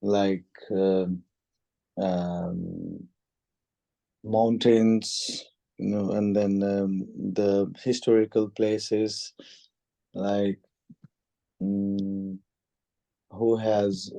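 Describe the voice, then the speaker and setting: A man from Nepal answering speaking test questions, hesitant with many pauses and restarts. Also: on the low side, flat delivery, vocal fry, warm tone, no echo, rough recording. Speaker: test candidate. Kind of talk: mock speaking exam answers.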